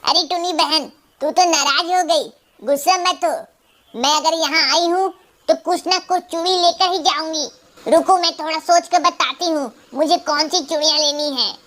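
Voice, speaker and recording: High-pitched haggling female crow voiced by a woman. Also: moderate pace, fairly bright tone. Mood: haggling, indignant. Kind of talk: Hindi children's cartoon dialogue.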